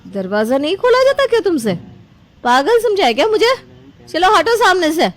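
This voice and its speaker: A woman voicing a scheming, sharp-tongued young daughter-in-law who complains and manipulates in a story drama. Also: very high voice, very animated, normal speed, pitched above the performer's own voice. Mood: drunken anger.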